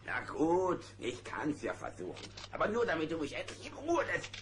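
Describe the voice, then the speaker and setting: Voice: mid-range voice, huge pitch swings, moderate pace. Eine Sprechrolle als mürrischer, stolzer Gummibär und Tüftler in einem Kinderhörspiel, bärbeißig und widerwillig. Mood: grumpy, scornful. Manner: gruff, huffy, grudging. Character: grumpy proud male gummy bear handyman. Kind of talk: children's cartoon audio drama. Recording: noisy old cassette recording.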